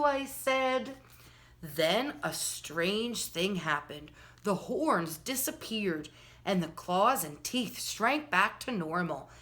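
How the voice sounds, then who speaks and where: A woman's voice: fairly low pitch, fairly bright tone. A woman hosting a children's storytime, reading a picture book aloud expressively and speaking directly to young listeners. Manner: slow, addresses children directly, storyteller phrasing. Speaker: children's storytime host and reader.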